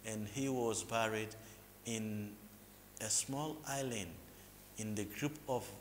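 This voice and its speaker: Man, lowish voice, history talk, hesitant fillers, faint ambient noise. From Mozambique. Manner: measured and lecturing, with hesitant fillers and restarts. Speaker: local historian guest. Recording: reverberant room with faint background noise.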